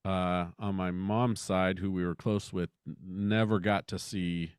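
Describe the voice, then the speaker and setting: Middle-aged man, lowish pitch, clean resonant tone, dry sound, reflective chat. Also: fairly even intonation, measured pace, clear, resonant tone, no echo. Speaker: podcast co-host.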